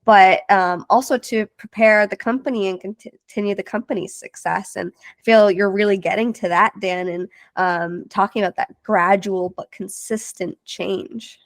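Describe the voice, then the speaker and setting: A woman's voice: mid-range voice, fairly even intonation, moderate pace, no room sound. A woman with a New England accent, co-hosting a podcast and thoughtfully building on the guest's points. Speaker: podcast co-host. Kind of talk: conversational reflection.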